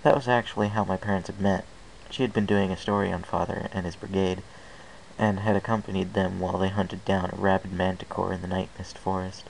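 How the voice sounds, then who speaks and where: Male amateur story narrator, lowish and gravelly, reading in first person over faint hiss. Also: little pitch movement, fairly quick, low-quality recording. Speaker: amateur fan fiction reader. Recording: hissy amateur home recording.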